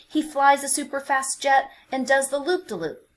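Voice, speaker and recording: A woman narrating a picture book for young children, expressive and deliberate, with dramatic emphasis and hushed phrases. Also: sits high. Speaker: children's read-aloud narrator.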